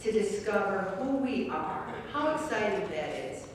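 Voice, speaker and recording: A woman's voice: medium pitch, lively intonation, moderate pace, slight background noise. A woman in her seventies leading a faith-based weight loss group, telling chatty, humorous stories. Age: 70s. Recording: echoey hall, distant mic, faint ambience.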